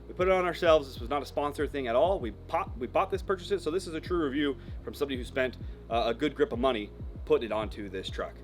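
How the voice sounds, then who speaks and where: Fast-talking American man, casual vlog review. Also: medium pitch, faint music behind. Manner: frank, informal, slangy. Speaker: presenter of a truck shop's video channel. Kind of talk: casual product review to camera.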